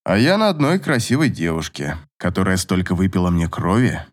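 A man voicing a low, possessive, domineering lover. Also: expressive, fairly quick. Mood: teasing. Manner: insistent, authoritative. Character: possessive, domineering male lover, commanding and evasive. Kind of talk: audiobook romance dialogue.

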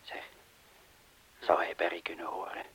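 Een acteur die in een hoorspel een aarzelende, bezorgde inbreker speelt, hees en vragend. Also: male voice, deep voice, flat delivery, normal speed, fairly bright tone, slightly rough, exaggerated voice. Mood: worried. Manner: doubtful, questioning. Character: hesitant, anxious burglar worried about his mate. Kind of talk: Dutch-language radio drama acting. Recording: dull lo-fi radio play recording.

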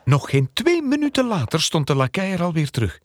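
A man narrating a scripted fairy tale as an expressive storyteller. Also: on the high side, brisk, no echo, studio quality.

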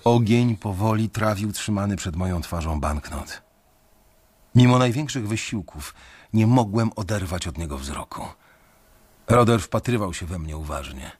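A man's voice: on the low side, animated, normal speed, darkish tone, breathy edge, no room sound. A man narrating a radio drama in the first person, tense and brooding. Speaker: narrator and lead character.

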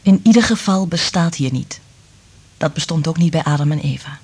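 An actress playing a sensitive, anxious woman in love in a radio play, speaking in a hushed, intimate, half-whispered voice. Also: sits low, expressive, moderate pace, breathy edge. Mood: sombre, hesitant. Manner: hushed, intimate, careful phrasing. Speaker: radio drama actor. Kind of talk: Dutch radio play acting.

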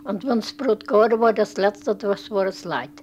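A woman's voice: mid-range voice, measured pace, darkish tone. An older woman informally recalling village life, recorded on location. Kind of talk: informal spoken recollection. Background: clear ambient noise.

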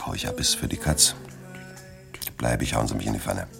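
An actor playing a weary, fearful but dogged middle-aged crime writer, brooding and wry, in a German radio drama. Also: male voice, a bass voice, expressive, fairly quick, music in the background, full character voice. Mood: grim, afraid. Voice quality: gravelly.

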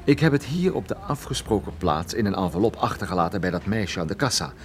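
Casting: tired, wry private eye for radio drama, low-pitched, very animated delivery, urgent in dialogue. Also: male voice, quick pace, slight background noise. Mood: brisk. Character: male private detective and narrator, weary, urgent, wry. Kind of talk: radio play acting with first-person narration.

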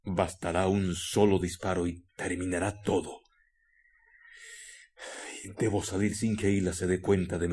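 A man playing a brooding, menacing husband who hides deadly plans, in a radio drama with slow, muttered delivery. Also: low voice, a little mellow, slightly rough, full character voice. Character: brooding, secretive, menacing husband plotting a killing.

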